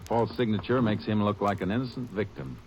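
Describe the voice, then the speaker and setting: An actor playing a steady, sharp-witted Texas Ranger who also narrates, in 1940s radio-drama style, calm and clipped. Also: male voice, lowish voice, a little fast, highs cut off, slight background noise, close to an everyday voice. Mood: determined. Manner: calm, clipped, matter-of-fact. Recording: old radio broadcast with music.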